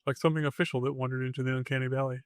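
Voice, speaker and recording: A man with a mid-pitched voice narrates like a podcast host telling an eerie story. His phrasing is short and suspenseful, with a deadpan streak. The sound is very dry, with no room around it. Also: rapid-fire, dry recording.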